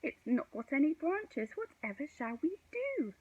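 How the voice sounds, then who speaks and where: A woman's voice: high-pitched, very animated, a little slow, darkish tone, no echo, lo-fi sound, pitched above the performer's own voice. A woman voicing a fretful, helpless small boy in a children's storybook, with a dramatic wail. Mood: panicked. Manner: wailing, dramatic.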